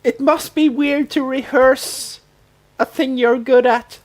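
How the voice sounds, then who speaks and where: A woman co-hosting a podcast, chatting informally about a TV show and explaining things in a halting, conversational way. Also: high voice, fairly even intonation. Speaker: podcast co-host. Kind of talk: casual chat about a TV show.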